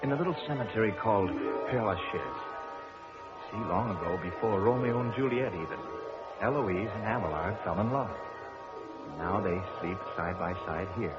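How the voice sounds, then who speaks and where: An actor playing a tender young man in love in a 1950s radio drama, speaking softly and romantically. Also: on the low side, normal speed, loud music behind the voice, pitched below the performer's own voice, lightly acted. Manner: soft, romantic. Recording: dull lo-fi 1950s radio broadcast.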